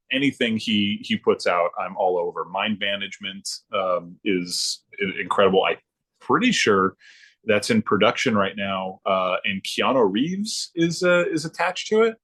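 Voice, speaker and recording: A man chatting casually as a podcast guest, in a halting, rambling way with frequent ums and repeated words. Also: lowish voice, a bit bright. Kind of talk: casual chat about hobbies.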